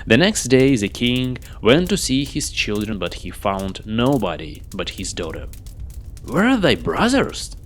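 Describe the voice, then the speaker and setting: Animated, low-voiced man reading a tale. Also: unhurried, few pauses. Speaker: storyteller, reader. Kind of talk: expressive fairy tale reading. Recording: noisy home recording.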